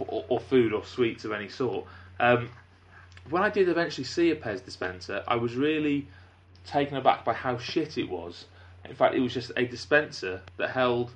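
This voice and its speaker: Brief: man from North Wales, podcast host, dry and mock-formal. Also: medium pitch. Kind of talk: casual comedic chat.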